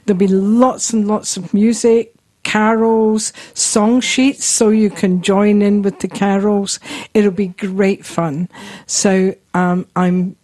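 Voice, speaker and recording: A woman's voice: medium pitch, fairly even intonation, takes it slowly, darkish tone, dry recording, clean studio sound. A middle-aged woman radio host with an accent between Scottish and New Zealand, chatting casually through announcements with frequent "um"s. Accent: Scottish and New Zealand mix. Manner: chatty, friendly announcements with fillers.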